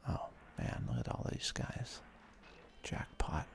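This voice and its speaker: A man whispering ASMR commentary as he hosts a video game let's play. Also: slow. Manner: soft, breathy whispering.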